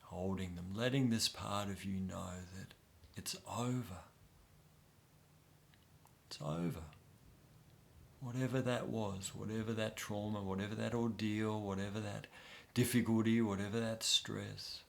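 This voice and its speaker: Man, very low voice, gravelly, unhurried, soothing meditation guide, gentle pauses. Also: steady tone, a little hiss or hum. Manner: slow, soft and soothing, with pauses. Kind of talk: guided inner-child meditation.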